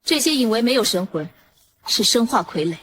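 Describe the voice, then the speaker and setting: A woman playing a young female warrior, alert and serious, in an animated drama. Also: fairly high pitch, fairly quick, noisy background, close to an everyday voice. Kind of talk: dramatic animated-series acting.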